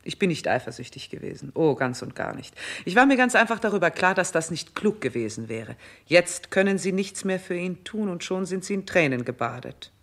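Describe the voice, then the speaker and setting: A woman's voice: lowish voice, sing-song, a little fast, few pauses, fairly bright tone, full character voice. Imperious, biting queen. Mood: cold sarcasm. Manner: imperious, biting, declamatory, emphatic enumerations. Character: stern, scornful older queen, commanding and merciless. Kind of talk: 1960s German radio play acting.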